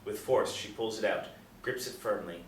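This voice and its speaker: A man's voice: fairly low pitch, moderate pace. A man reading stage directions as scripted narration at a table reading. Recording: roomy, distant mic, faint noise.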